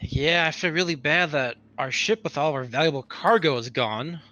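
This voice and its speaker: A man playing a worried, grudging space freighter worker in a tabletop game, speaking casually and haltingly. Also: a little high, bright, forceful tone, lo-fi sound, soft background music. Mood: annoyed. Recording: background noise.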